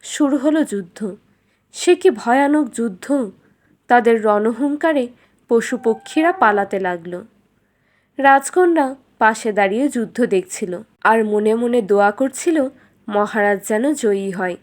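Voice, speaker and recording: A woman's voice: a little high, expressive, a little slow, long pauses, a little mellow. A woman narrating a scripted fairytale for children as a storyteller.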